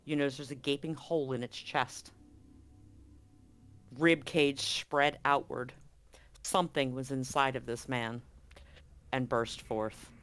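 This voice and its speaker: A game master narrating a tabletop horror game in a dramatic, suspenseful way, with deliberate pauses. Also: medium pitch, steady tone, fairly bright tone, clean tone.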